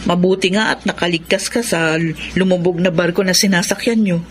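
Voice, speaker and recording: A woman's voice: lowish voice, fairly even intonation, brisk, a little hiss or hum, lightly acted. A woman playing a caring, practical wife and mother in a Filipino radio drama, earnest and comforting, with a Filipino accent. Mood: pleased. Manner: consoling, earnest.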